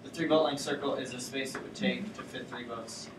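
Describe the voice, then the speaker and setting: A man's voice: mid-range voice, fairly even intonation, brisk. A young man giving a casual talk on sailing rules to his college team, explaining things loosely with fillers. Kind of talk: informal lecture to a student sailing team. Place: lecture classroom with a projector screen. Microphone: far camera at the back of the room. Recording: roomy classroom from far off, with background noise.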